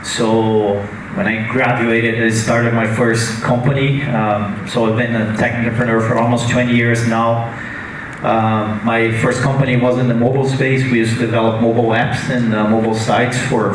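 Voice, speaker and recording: A Brazilian-accented man introducing himself as a startup founder at a conference panel, halting with many fillers and restarts. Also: medium pitch. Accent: Brazilian Portuguese. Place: conference hall. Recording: echoey hall, distant mic, noisy.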